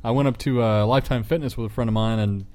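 A man's voice: medium pitch, steady tone, quick pace, a little mellow. A man co-hosting a podcast, chatting informally with a wry, teasing way of talking. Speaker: podcast co-host. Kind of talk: casual chat, banter.

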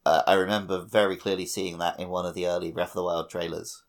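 Casual male co-host, lowish voice with heavy vocal fry, quippy delivery. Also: level tone, fast. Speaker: podcast co-host. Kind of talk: casual chat.

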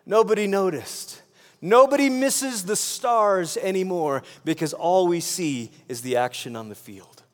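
A man's voice: high-pitched, expressive, a little slow. A man from Malaysia preaching a sermon in a conversational way, posing rhetorical questions and repeating phrases for emphasis. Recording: long hall reverb.

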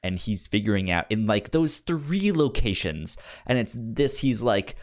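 A podcast co-host chatting casually about pop culture, often repeating syllables and stumbling over words, with animated emphasis. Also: mid-range voice. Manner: halting speech with repeated syllables and stammered words.

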